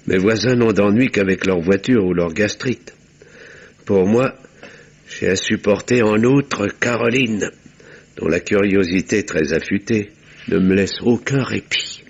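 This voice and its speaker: Deep, smooth country doctor. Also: male voice, lo-fi sound, heavily acted. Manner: measured, literary, formal. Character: weary, reserved male country doctor narrating a murder mystery. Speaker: narrator and lead actor. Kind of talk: French radio mystery drama acting and narration.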